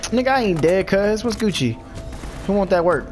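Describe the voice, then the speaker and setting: A man's voice: high-pitched, animated, moderate pace, darkish tone, soft background music. Congested young man swearing through gameplay. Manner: loose, profane trash talk at enemies. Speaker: let's play host. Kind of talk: casual gameplay commentary.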